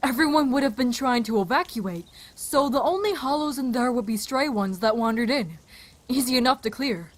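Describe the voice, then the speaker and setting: A woman plays a confident, no-nonsense survivor with a fairly high voice. She talks practically and takes charge easily, as in an amateur anime audio drama. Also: expressive, moderate pace. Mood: assured.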